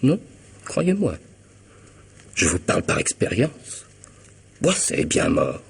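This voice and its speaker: A man's voice: low voice, huge pitch swings, takes it slowly, creaky, husky, exaggerated voice. An actor playing a cunning, mocking hitman in a radio mystery, with theatrical irony and precise, formal diction. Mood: sardonic menace. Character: cunning middle-aged hitman, smug, mocking, methodical blackmailer. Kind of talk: French radio mystery drama acting.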